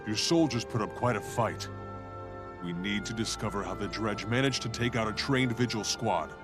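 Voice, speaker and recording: An actor playing a dutiful, resolute young Norn hero in a fantasy video game. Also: male voice, on the low side, normal speed, lightly acted. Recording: game audio with music behind.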